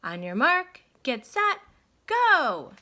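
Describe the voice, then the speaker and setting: Woman as a loud, high, brisk race referee. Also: huge pitch swings, heavily acted. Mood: rousing. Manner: loud, announcing. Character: brisk man acting as boat-race referee.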